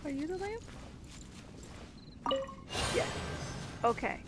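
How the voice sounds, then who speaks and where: A woman's voice: extremely high pitch, very animated, slow pace. A woman giving casual gaming commentary in a joking, offhand manner. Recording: game music behind the voice.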